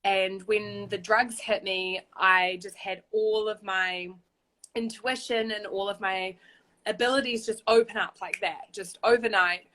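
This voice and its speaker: A woman's voice: medium pitch, fairly even intonation, measured pace, sharp tone, low-quality recording. A woman with a New Zealand accent, chatting as a guest on a video call, upbeat and chatty. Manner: upbeat, chatty, uses "like" a lot. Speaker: guest, spiritual guide. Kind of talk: casual chat on a video call. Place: outdoors in a hammock.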